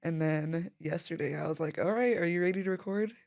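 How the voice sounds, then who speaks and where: A woman's voice: lowish voice, brisk, a little mellow. A woman hosting a podcast, chatting casually and laughing often. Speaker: podcast host. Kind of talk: casual conversation.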